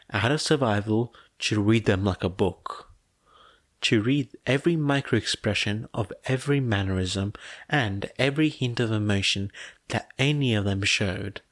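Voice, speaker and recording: A male audiobook narrator reads fiction in a soft, low voice. His delivery is very slow and measured, with dramatic pauses. The recording is dry and close. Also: dry recording.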